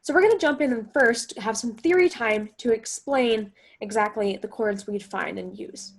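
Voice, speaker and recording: A woman's voice: a little high, normal speed, vocal fry, a little hiss or hum. A woman guitar teacher explaining step by step in a chatty, think-aloud way, as in an instructional music lesson.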